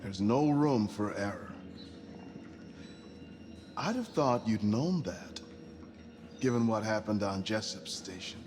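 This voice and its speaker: A cool, superior male mission boss in a sci-fi game. His voice is fairly low and measured, with condescension in it. Music sits faintly behind him. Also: lively intonation. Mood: cold, threatening. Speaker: mission leader. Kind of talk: cinematic video game acting.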